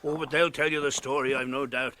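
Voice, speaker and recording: Kindly old rustic farmer male actor, plain-spoken and wary, sharp bright delivery, fantasy radio play with slight background noise. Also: medium pitch, little pitch movement, fairly quick, close to an everyday voice. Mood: genial, chuckling. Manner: folksy, plain-spoken, chuckling country turns of phrase. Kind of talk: radio drama acting.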